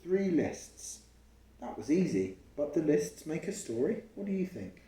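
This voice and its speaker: A man reading a picture book aloud to a child at home, pausing to explain and ask questions along the way. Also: a little high, sing-song, mellow, recorded from a distance. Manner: explains and asks questions as he reads. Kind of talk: storytime read-aloud with chatty asides to a child. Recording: roomy, echoey home recording.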